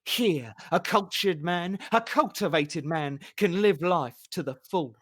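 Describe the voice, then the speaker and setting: An audiobook reader voicing a vain, flamboyant male poet who talks in a raised, theatrical, excitable way. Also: medium pitch, takes it slowly, voice pushed up.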